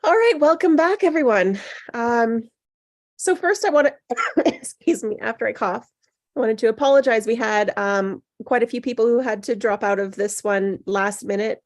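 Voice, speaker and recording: Female host, slightly high voice, informal group-discussion style. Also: moderate pace. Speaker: host of online table read.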